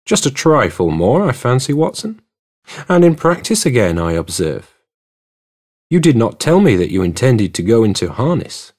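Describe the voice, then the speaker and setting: A man voicing a keen, superior, teasing male detective in an audiobook, brisk, assured and precise. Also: medium pitch, very animated, a little mellow. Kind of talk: audiobook character dialogue.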